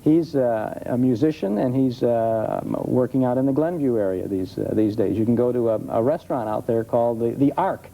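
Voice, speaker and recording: A man chatting informally as a studio guest expert, answering questions in a halting, explanatory way. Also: medium pitch, vocal fry, warm tone. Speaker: talk show guest, old-time radio expert. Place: television studio. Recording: dull noisy TV studio tape.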